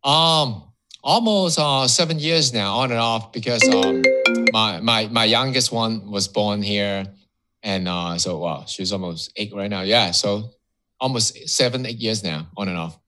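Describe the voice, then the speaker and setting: Bright-voiced man from Hong Kong. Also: lowish voice, faint music behind. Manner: upbeat, halting with repeated words. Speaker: guest entrepreneur. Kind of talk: casual interview conversation. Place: Miami.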